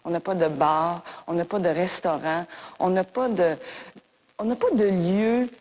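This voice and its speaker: Low-pitched woman, hesitant interview. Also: a little slow, darkish tone, poor audio. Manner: hesitant, with many fillers. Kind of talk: interview clip.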